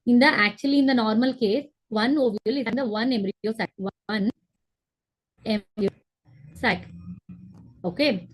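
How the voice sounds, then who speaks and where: A woman's voice: fairly high pitch, measured pace, pauses often, low-quality recording. A young woman teaching a biology class online over a video call, explaining step by step, repeating key terms and checking that the students follow. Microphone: laptop webcam on a video call.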